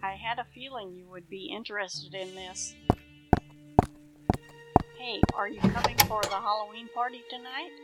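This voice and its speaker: A woman's voice: medium pitch, fairly even intonation, normal speed, pauses often, over loud music, lightly acted, poor audio. A woman playing a helpful, sociable university library assistant in a scripted audio drama. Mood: eager, friendly.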